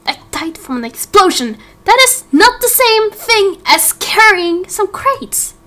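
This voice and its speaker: Woman as an awkward, bright-voiced young angel man. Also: very high-pitched, very animated, exaggerated voice. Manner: hesitant, halting. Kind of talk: Minecraft roleplay voice acting.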